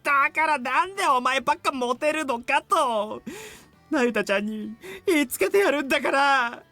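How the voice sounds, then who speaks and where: A petulant young guy from a visual novel game. He is good-natured under the sulking, speaks very fast, and swings his bright voice around with brash energy. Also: very high-pitched, animated, faint music behind, heavily acted. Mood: sulky, jealous. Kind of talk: Japanese visual novel voice acting.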